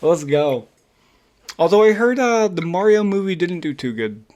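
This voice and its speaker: Male podcast co-host, somewhat higher-pitched, casual, slangy, emphatic and excitable. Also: moderate pace. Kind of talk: casual chat about video games and films.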